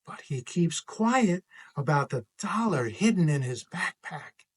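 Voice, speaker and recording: Slow, expressive storybook read-aloud by a man. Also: on the high side, husky. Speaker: author reading own picture book.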